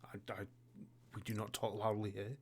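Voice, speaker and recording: A man's voice: fairly low pitch, completely flat delivery, normal speed. A man playing a plainly dressed, defensive villager who stammers, repeats himself and avoids answering. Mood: suspicious, defensive. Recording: noisy hobby recording with clicks.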